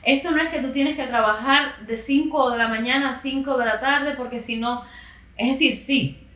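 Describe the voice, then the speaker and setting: A woman with a bright, forceful voice who gives earnest advice. She sounds set back from the mic, and the room echoes around her. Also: high voice, moderate pace, far from the mic. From Cuba. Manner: earnest, advising tone. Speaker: guest, emigrant mother. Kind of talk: casual conversation.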